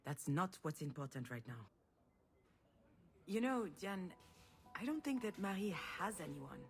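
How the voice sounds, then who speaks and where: A woman's voice: fairly low pitch, big pitch swings, unhurried. A woman playing a protective, reflective older sister in a naturalistic video game drama, earnest and wry. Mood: earnest, reassuring. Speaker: game protagonist. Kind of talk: naturalistic drama voice acting, dialogue and inner monologue.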